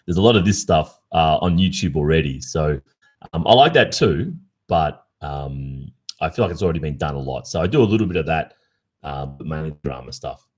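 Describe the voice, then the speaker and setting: A man's voice: rumbling low, lively intonation, brisk. An Australian man hosting a casual livestream Q&A, chatting informally and reflectively. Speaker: ASMR roleplay creator and livestream host.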